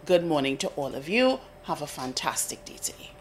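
A woman's voice: sits low, sing-song, a little fast, slight background noise. A woman co-hosting a breakfast radio show, chatty and laughing often. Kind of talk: casual studio banter. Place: radio studio.

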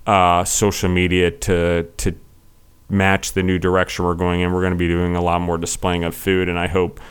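A man's voice: low-pitched, monotone, moderate pace, clear, resonant tone. A young man hosting a casual podcast interview, chatty and rambling with lots of fillers. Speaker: podcast host.

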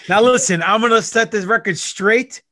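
Brash high-voiced wrestler cutting promos. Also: male voice, normal speed, bright, forceful tone, no echo, clean studio sound. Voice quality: boastful, hammy, trash-talking. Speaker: podcast guest, wrestler. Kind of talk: casual chat in a brash wrestling promo manner.

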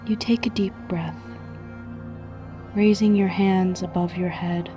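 A woman narrating a scripted guided meditation in a soothing, measured way with frequent pauses, her voice soft and breathy, often near a whisper. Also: medium pitch, fairly even intonation. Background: music.

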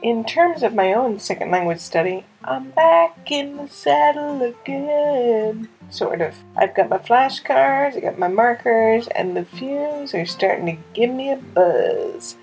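Woman, podcast host, conversational, wry, frequent vocal fry, faint music behind. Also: a little high, expressive, measured pace, hardly any pauses, darkish tone, low-quality recording. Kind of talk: scripted podcast narration and interviewing.

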